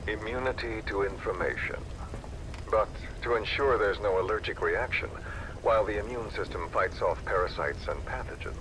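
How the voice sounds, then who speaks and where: An actor playing a menacing disfigured villain in a video game, speaking with deliberate, theatrical and coldly eloquent malice. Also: male voice, fairly low pitch, background noise, natural voice. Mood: measured, probing. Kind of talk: dramatic video game voice acting.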